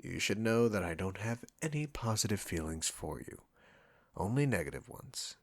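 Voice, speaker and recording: A man acts out a condescending male chef with a low voice. He is cocky and teasing, trading barbs with an old rival. The delivery is intimate audio roleplay, aimed straight at the listener. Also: big pitch swings, moderate pace. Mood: grudgingly fond. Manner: smug, bantering, teasing.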